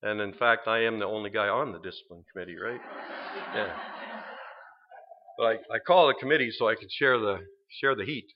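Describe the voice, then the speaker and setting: Guy talking at church, earnest and plain, bit of dry humor, lowish voice, kinda dull sound. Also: a little fast, long pauses, slight background noise. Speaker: ministry program staff, discipline head. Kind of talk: church presentation talk.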